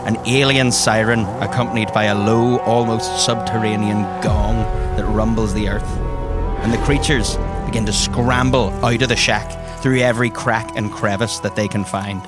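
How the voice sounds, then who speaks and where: Guy as game master, lowish voice, telling the story with music clearly behind him. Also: steady tone, moderate pace. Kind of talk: dramatic second-person narration of tabletop game. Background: background music.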